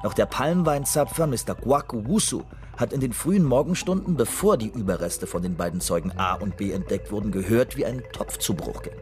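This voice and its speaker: An actor playing an officious police investigator in a radio drama, talking in a businesslike, official way. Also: male voice, lowish voice, fairly quick, few pauses, a little mellow, soft background music, close to an everyday voice. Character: male police investigator, brisk, officious, in charge. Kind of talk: radio drama acting, dictating a formal report.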